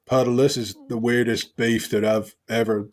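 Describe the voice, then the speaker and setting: A New man plays in a tabletop game and comments in a dry, wry way. His voice is medium in pitch, with a casual, joking conversational delivery. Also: level tone, moderate pace.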